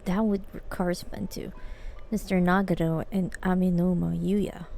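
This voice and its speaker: A woman's voice: lowish voice, level tone, normal speed, gravelly, mellow, slight background noise. A woman game streamer giving casual live commentary and reading the game's text aloud.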